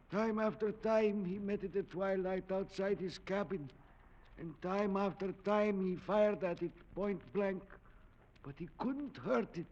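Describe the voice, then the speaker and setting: High-pitched superstitious old game warden. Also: male voice. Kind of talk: 1940s radio drama acting. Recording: dull vintage radio recording.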